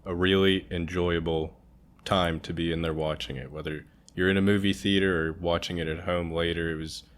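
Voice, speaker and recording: A man's voice: deep voice, steady tone, moderate pace. A young man giving his opinions as co-host of a casual, conversational movie review. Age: young adult.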